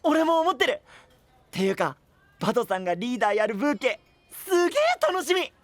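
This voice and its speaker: An actor playing an excitable, naive, boyish young male knight with exuberant casual speech in an anime-style voice drama. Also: very high voice, a little fast, dry recording, exaggerated voice. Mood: thrilled.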